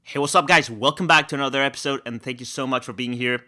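A man hosting a podcast who introduces the episode and interviews his guest with an upbeat, energetic delivery. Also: mid-range voice, fast talker, faint music behind. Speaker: podcast host.

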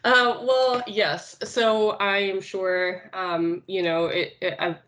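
A woman from Tunisia whose first language is Arabic, answering interview questions in a casual, unhurried way with many filler sounds. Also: medium pitch, level tone, fairly bright tone. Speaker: finance recruiter, podcast guest.

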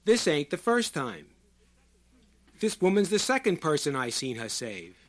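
Man acting a loud, insistent squat working-class man. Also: a little high, very animated. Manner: shouting, slow emphatic declarations in folksy ungrammatical speech. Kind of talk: audiobook character voice.

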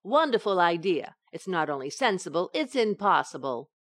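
A woman acts out a scatterbrained young wife in a radio sitcom. She coaxes with wide-eyed innocence and breezy comic timing. Her pitch swings in a lively way, and the sound is dry. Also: moderate pace, dry recording. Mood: innocently evasive. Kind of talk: old-time radio sitcom acting.

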